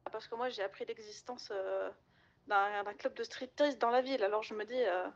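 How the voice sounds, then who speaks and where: A woman telling stories casually in an online roleplay, chatty, slangy and halting, over game voice chat. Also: medium pitch, highs cut off. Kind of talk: casual in-character storytelling.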